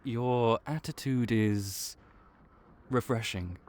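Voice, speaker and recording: A man's voice: medium pitch, takes it slowly, darkish tone, slight background noise, natural voice. A man voicing a laid-back vampire clerk and mentor in an audio roleplay, dry and wry as he speaks to the listener. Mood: easygoing.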